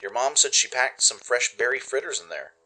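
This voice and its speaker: A man voicing a loving pony stallion father, a mechanic, gentle and reassuring, in a radio play. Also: expressive, fairly quick, husky, soft background music, full character voice, poor audio. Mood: tender. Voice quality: deep, gentle. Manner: soft, reassuring, affectionate.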